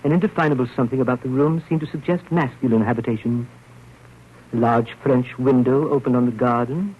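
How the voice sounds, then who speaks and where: An actor playing a fussy, nervous, proper gentleman in 1930s radio drama style, with a prim, halting delivery and habitual throat-clearing. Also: medium pitch, close to an everyday voice. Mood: polite, uneasy. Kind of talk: 1930s radio drama acting, first-person narration. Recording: muffled, noisy old radio broadcast.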